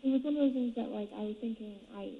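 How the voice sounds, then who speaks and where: A woman's voice: fairly high pitch, quick pace. Woman on a thin, echoing phone line. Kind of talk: casual phone conversation. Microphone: telephone.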